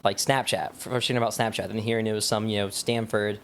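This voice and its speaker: Fast-talking young American man, chatty. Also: on the low side, fairly even intonation, fairly bright tone, slight background noise. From Wyoming, United States. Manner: rambling, peppered with "like" and "you know". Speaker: podcast guest, startup founder. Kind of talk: casual interview chat, storytelling.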